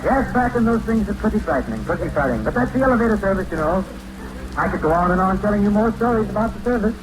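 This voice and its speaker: A man's voice: sits high, expressive, fast talker, background noise. A man acting in old-time radio comedy as a genial older storyteller, whimsical, pedantic and florid as he spins tall tales to a lad. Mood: mock solemn. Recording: old radio recording with music.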